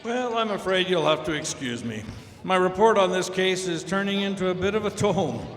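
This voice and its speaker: A man acts out a formal Edwardian police inspector in a radio play. His voice sits fairly high for a man, stiffly polite, and rings in a reverberant hall. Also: lively intonation, normal speed, a bit bright. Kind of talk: old-time radio drama acting.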